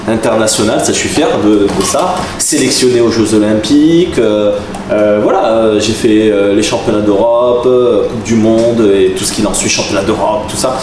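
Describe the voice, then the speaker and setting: A man in his forties from southern France, telling stories to the camera in a chatty way, laughing often. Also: lowish voice. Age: 40s. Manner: chatty, laughing, animated asides. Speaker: vlogger, taekwondo master. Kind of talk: casual storytelling to camera. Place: room with a camera crew. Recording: roomy, mic at a distance, background noise.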